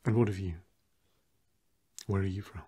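Man as a breathy, husky gentleman vampire. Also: sits low, steady tone, moderate pace. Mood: fussy, condescending. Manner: theatrical, archaic, hesitant asides. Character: pompous, fussy aristocratic gentleman vampire. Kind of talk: ASMR roleplay.

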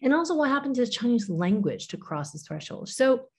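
A woman's voice: fairly high pitch, very animated, brisk, darkish tone, breathy edge. A woman in her forties, a professor and author, explaining ideas thoughtfully as a guest over a video call, often hedging with "kind of" and "you know". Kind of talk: interview conversation. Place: home room with windows.

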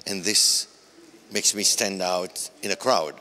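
Forceful bright-voiced older man. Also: low-pitched, steady tone, takes it slowly, in a large hall. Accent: Seychellois. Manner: forceful, emphatic. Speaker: interviewee, politician. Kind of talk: news interview. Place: indoor office room.

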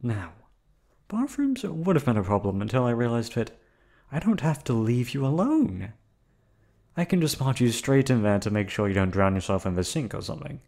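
A coldly controlling yandere captor, voiced by a man for an ASMR roleplay. He speaks in a low, put-on accent with lively swings of pitch. He stays calm and threatening throughout. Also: vocal fry. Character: menacing yandere male receptionist captor. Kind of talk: ASMR roleplay audio drama.

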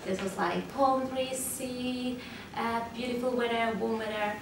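A woman's voice: a little high, fairly even intonation, unhurried. Young Estonian-accented woman, chatty guest. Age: young adult. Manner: chatty, many fillers and restarts. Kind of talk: casual interview chat. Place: bare studio room indoors. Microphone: lapel. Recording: echoey room, mic at a distance, noisy.

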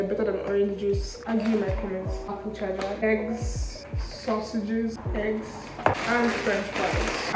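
Young woman, casual vlog chat, informal and rambling, echoey indoor room, background music. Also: medium pitch. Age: young adult. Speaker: vlogger, university student. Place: indoor student flat kitchen and rooms. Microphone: camera at arm's length or propped nearby.

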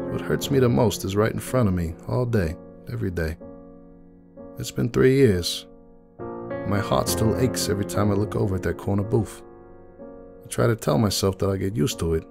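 A man's voice: fairly low pitch, vocal fry. A man voicing a bitter, grieving middle-aged pony who runs a cafe, weary and anguished, in a dramatic story reading. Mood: aching grief. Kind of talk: dramatic fan fiction reading in first person.

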